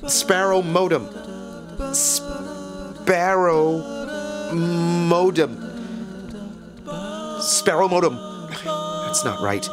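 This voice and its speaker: An earnest, eerie small-town radio host in a fiction podcast. His fairly high male voice swoops expressively through theatrical storytelling. Music plays behind him. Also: lively intonation, very slow, pauses often, heavily acted. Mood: confused, struggling. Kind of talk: scripted fiction podcast narration as a radio broadcast.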